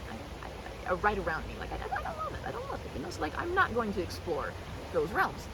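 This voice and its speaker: A woman chatting in a rambling, halting, earnest way, as if to a best friend in an ASMR roleplay, repeating herself and delivering things expressively. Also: on the high side, wildly swooping pitch, gravelly, very noisy. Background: riverside park ambience.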